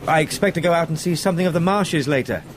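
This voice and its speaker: A male actor plays a young English solicitor in a radio drama, courteous and formal with slight hesitation. His voice is fairly high, with noticeable vehicle noise behind it. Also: fast talker, natural voice. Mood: polite reserve. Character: polite, educated young London solicitor, sceptical and formal. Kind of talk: radio play acting.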